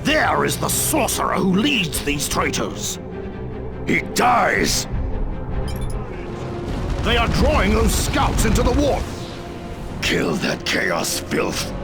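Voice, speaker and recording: A man playing a stern, zealous space marine captain in a video game, giving curt, imperious commands with loud music behind him. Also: sits high, wildly swooping pitch, slow, creaky, long pauses, roomy sound, heavily acted. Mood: battle fury. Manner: imperious, clipped orders. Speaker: military captain. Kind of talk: dramatic video game acting.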